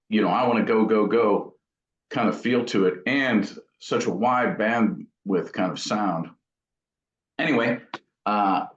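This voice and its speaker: Man, lowish voice, casual interview talk, thoughtful and rambling, dull echoey recording. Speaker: guitar amplifier builder being interviewed. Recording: dull, echoey room sound.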